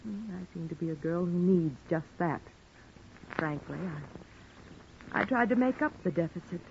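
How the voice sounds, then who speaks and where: Flirtatious American noir widow, dull recording. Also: female voice, on the low side, lively intonation, moderate pace, a little mellow. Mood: frank, coy. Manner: coy, teasing, breezy. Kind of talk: old-time radio noir acting. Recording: dull, noisy vintage radio recording.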